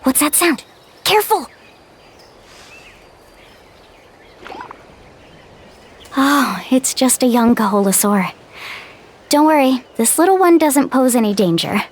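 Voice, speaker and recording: A woman playing an upbeat, friendly young female guide in a video game, expressive and encouraging. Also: high-pitched, normal speed, husky, heavily acted. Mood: alert. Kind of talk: English video game voice acting.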